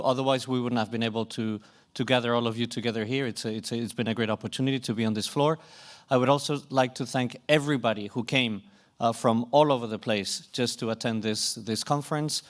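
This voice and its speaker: Swedish-accented man giving closing thanks. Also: medium pitch. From Sweden. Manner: informal, grateful, joking. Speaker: event host closing a conference. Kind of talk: closing remarks. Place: conference pavilion hall.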